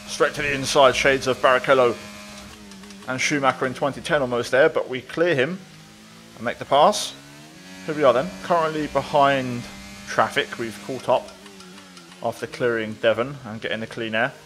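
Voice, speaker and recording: A man's voice: mid-range voice, steady tone, moderate pace, pauses often, fairly bright tone, a little hiss or hum. A man giving casual, running commentary as he plays a racing game. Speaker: gaming video creator.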